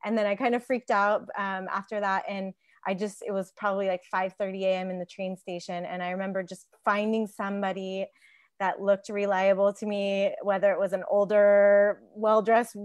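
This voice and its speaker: Dry-sounding woman chatting, mid pitch. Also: clear, resonant tone, no room sound. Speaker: tour guide, panel guest. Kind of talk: casual conversational storytelling and advice. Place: living room couch, indoors. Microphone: wired earphone mic on a video call.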